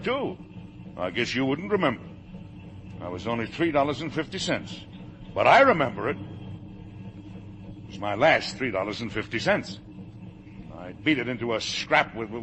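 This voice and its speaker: Forceful, hard-driving older factory boss. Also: male voice, medium pitch, big pitch swings, moderate pace, long pauses. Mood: bitter. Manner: forceful, bitter, emphatic repetition. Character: hard-driving self-made factory owner, older man. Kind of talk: 1950 radio drama acting. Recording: noisy vintage radio broadcast.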